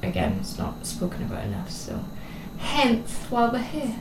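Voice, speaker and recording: A young adult woman from Scotland with a Scottish accent that sometimes slips toward English, co-hosting a casual chat in a chatty manner with quick asides. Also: a little high, huge pitch swings. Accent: Scottish, at times English. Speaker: co-host. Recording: echoey room with faint noise.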